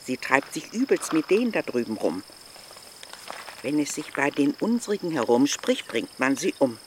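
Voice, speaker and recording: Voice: medium pitch, big pitch swings, normal speed, lightly acted. An actor plays a stern village aunt in a radio drama. She admonishes reproachfully, with strongly varied intonation. A faint bed of outdoor noise sits underneath. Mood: stern, scolding. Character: stern, scolding village aunt warning a girl.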